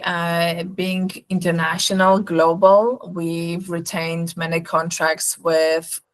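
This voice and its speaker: Low-pitched woman with a Polish accent. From Poland. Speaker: recruitment agency representative presenting. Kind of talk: webinar sales presentation.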